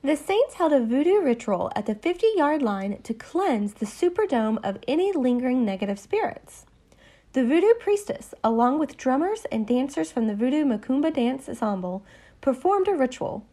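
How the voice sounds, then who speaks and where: A woman co-hosting a podcast, reading a scripted history with lively, earnest emphasis. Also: high voice, moderate pace. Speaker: podcast co-host. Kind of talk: scripted narration.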